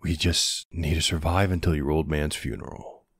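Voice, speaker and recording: A man playing a protective, gruff but tender older boyfriend in an ASMR audio roleplay, unhurried and plain-spoken, with a husky, gravelly voice. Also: rumbling low, fairly even intonation, dry recording, studio quality, full character voice. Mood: tender, reassuring.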